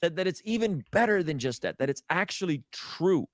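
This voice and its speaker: A man's voice: a little high, animated, a little fast, dry recording, clean studio sound. A man speaking as a religious apologist in impassioned conversational preaching, repeating phrases for weight.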